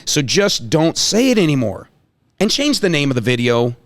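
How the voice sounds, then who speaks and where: A man acts out a flustered and greedy male school principal in a comic table read. He is long-winded, halting and exclamatory, with a higher-pitched voice that swings widely, recorded dry. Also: sing-song, dry recording. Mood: exasperated.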